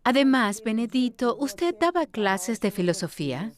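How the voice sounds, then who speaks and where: A woman's voice: a little high, very animated, unhurried. A woman dubbing a poised interviewer. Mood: attentive. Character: female interviewer, attentive and composed. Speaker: dub voice of an interviewer. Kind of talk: voice-over dub of an interview.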